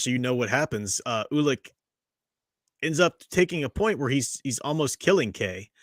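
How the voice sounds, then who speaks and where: A man's voice: medium pitch, fairly bright tone, no room sound, studio quality. A man hosting a casual review chat, talking eagerly and at length, often restarting his sentences. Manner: enthusiastic, talkative, restarts often. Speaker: podcast host.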